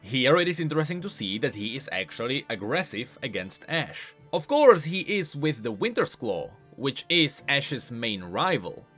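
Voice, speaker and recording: A man hosting a video game lore explainer, speaking in an animated, emphatic way. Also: mid-range voice, normal speed, hardly any pauses. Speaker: host of a game lore channel. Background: music.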